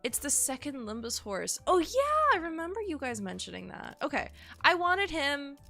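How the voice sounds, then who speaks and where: Sick-sounding streamer woman, gravelly edge. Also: high-pitched, very animated, normal speed, pushed and bright, faint music behind. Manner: rambling, swears casually, self-deprecating. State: sick, nasal and sniffly. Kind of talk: casual live-stream chat.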